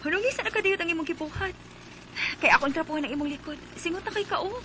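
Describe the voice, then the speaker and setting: A woman's voice: extremely high pitch, fast talker, noisy background. An actress playing a caring, practical young girlfriend in a radio drama, with a Cebuano Filipino accent. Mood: tender.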